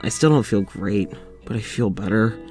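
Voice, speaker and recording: An actor playing a lonely, weary doctor in an audio drama, confiding in a halting, sighing way. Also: male voice, lowish voice, darkish tone, faint music behind, natural voice. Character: lonely, weary young doctor venting to his demon dog.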